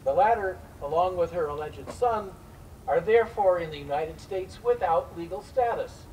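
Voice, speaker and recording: A man playing a formal early 1900s immigration inspector in a staged reading, speaking in a measured, deliberate way as he delivers official rulings. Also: high voice, darkish tone, close to an everyday voice. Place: live staged reading before an event audience. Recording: distant mic, faint noise, live event.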